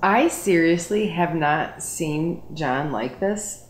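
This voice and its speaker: A woman's voice: sits low, animated, reverberant, slight background noise. A woman in her thirties chatting on a couch at home, chiming in with teasing remarks. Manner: teasing, chimes in with quick remarks. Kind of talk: casual chat. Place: living room couch at night.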